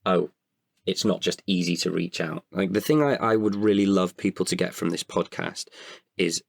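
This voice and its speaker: A man with a creaky voice in a candid podcast conversation, speaking hesitantly and often correcting himself. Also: low voice, no echo, clean studio sound. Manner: hesitant, halting with self-corrections. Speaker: writer-performer guest.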